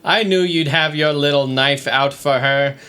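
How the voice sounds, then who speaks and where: A man's voice: a little high, a little slow, bright, forceful tone, clean tone. A man playing a smug, needling ex-husband in a 1910s New York comedy audio play, taunting and mock-polite.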